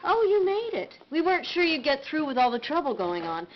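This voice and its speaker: An actress playing a busy, urgent hospital staffer in a game's medical drama. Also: female voice, high-pitched, sing-song, fairly quick. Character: busy, urgent female emergency room staffer. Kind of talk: acted medical drama dialogue. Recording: dull lo-fi game video, noisy.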